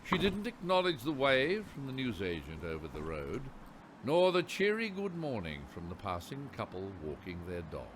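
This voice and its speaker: Theatrical male narrator with hugely animated delivery. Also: mid-range voice, a little hiss or hum. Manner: theatrical, wry, deliberate emphasis. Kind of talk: dramatic scripted radio play narration.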